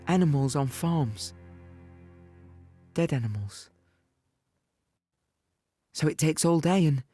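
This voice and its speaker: An actor playing a plainspoken, weary infantryman in an audio play, reminiscing in a halting, reflective, conversational way. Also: on the high side, warm tone. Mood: sombre. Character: young British infantryman recounting war, plainspoken, weary, wistful. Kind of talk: audio play monologue, reminiscing in present tense.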